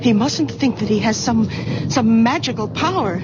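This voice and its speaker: An actress playing an anxious, protective middle-aged daughter in a 1970s radio drama. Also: female voice, on the high side, moderate pace, lightly acted. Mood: worried, pleading. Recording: very noisy, dull old radio recording.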